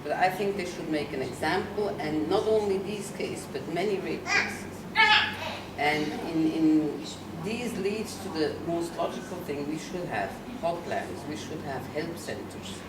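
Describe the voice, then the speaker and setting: A woman's voice: low voice, measured pace. A woman from Switzerland, an interviewee in a roundtable discussion, speaking earnestly and emphatically as she argues her points. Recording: echoey room, distant mic, loud background noise.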